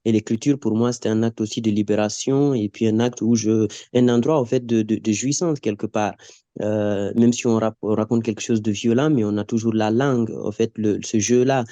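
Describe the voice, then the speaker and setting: A man from Guinea, a native Pular speaker, talks on a live panel as a writer. His low voice sounds dark and mellow, and it is recorded dry and close. He speaks haltingly, restarting and repeating words. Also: fairly even intonation, no echo, muffled recording. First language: Pular (Fula). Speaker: writer and playwright.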